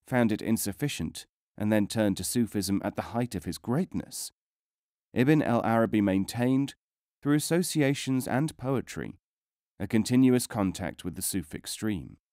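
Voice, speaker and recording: A man reading non-fiction as a scripted audiobook narration, in a measured, formal way with careful enunciation. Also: fairly low pitch, lively intonation, a little mellow, no room sound, studio quality.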